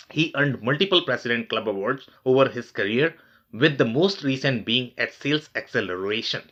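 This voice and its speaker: A man's voice: medium pitch, pushed and bright, highs cut off. A man hosting a business podcast, reading a formal scripted introduction and asking questions. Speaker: podcast host.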